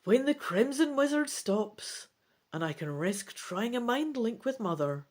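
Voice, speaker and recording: A brave, protective heroine from a fantasy storybook, acted by a woman reader. She speaks earnestly, with lifted pitch and lively swings of emphasis. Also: a little slow. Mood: determined, caring. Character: brave, protective female adventurer in a fantasy tale.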